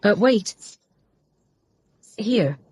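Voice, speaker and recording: A woman playing a poised, charming Victorian lady in a radio drama, gracious and coaxing, with a husky voice. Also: mid-range voice, animated, drawn-out words, a little mellow, no echo, studio quality, full character voice.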